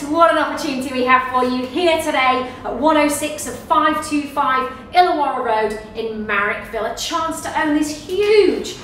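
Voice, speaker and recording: A woman in her thirties with an Australian accent, working as an auctioneer and calling bids live with brisk, upbeat sales patter. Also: high-pitched, a bit bright, far from the mic, a little hiss or hum. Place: small office studio in front of a backdrop. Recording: echoey room, voice heard from off the mic.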